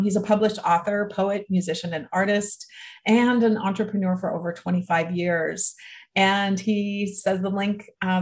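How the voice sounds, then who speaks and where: An upbeat, enthusiastic woman hosting a podcast, opening with a scripted intro and moving into relaxed interview chat. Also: mid-range voice. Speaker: podcast host. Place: island home in Mexico.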